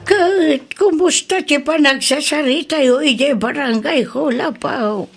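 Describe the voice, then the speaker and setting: A woman playing an elderly, doting, worried Ilocano grandmother in a radio drama, with an Ilocano accent and creaky voice. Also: high-pitched, measured pace, soft background music. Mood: concerned.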